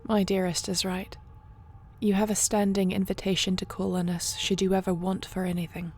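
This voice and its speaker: Casting: female actor for dragon shifter queen in audio drama; earnest, formal, gravelly edge, very restrained intonation. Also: medium pitch, flat delivery, a little mellow. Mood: grateful. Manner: earnest, formal, measured delivery. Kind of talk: fantasy audio roleplay acting.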